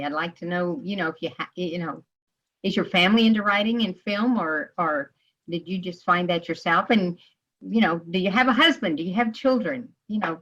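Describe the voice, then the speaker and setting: A woman's voice: mid-range voice, a bit bright. A woman hosting a podcast interview, asking friendly, rambling questions. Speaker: podcast host. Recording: dull lo-fi sound.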